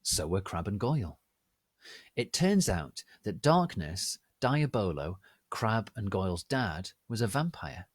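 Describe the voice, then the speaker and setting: A man narrating fan fiction as a theatrical, deliberate dramatic reading. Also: lowish voice, very animated, no echo, clean studio sound.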